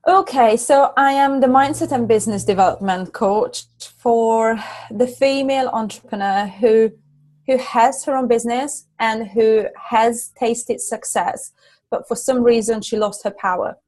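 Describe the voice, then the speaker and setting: A middle-aged woman from Hungary with a Hungarian accent, talking earnestly as a guest business coach, halting and restarting often. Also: fairly high pitch, a little mellow. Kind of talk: casual interview chat.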